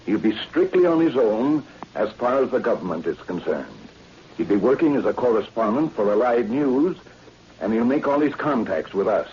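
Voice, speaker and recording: A man's voice: mid-range voice, animated, moderate pace, lightly acted. A man playing a persuasive, self-assured news syndicate president in 1940s radio style, with an American accent and an emphatic, businesslike manner, on a muffled, hissy old recording. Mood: confident, insistent.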